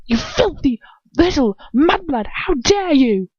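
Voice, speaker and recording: A cruel young wizard man shouts and spits his insults, as a woman reads him out in a raised, strained voice pitched well above her own. The recording is dull and lo-fi, with a dry sound. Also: sing-song, slow, no room sound, heavily acted. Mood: furious. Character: furious young male wizard, cruel and contemptuous.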